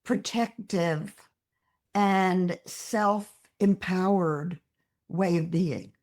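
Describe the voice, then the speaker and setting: An older woman speaks as a psychologist guest on a podcast at a slow, unhurried pace. She is earnest and reflective, and she gently makes her points as she talks. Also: fairly low pitch. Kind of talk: interview conversation.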